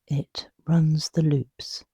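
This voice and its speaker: A meditation guide with a soft, breathy voice, narrating a sleep meditation in a hushed, soothing, unhurried way with long pauses. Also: mid-range voice, level tone, no echo.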